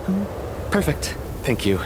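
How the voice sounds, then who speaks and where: Friendly ticket checker, quick and polite, bouncy tone, noisy outside. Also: male voice, on the high side, very animated. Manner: brisk, polite. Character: friendly male ticket checker at a theater door. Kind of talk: game voice acting.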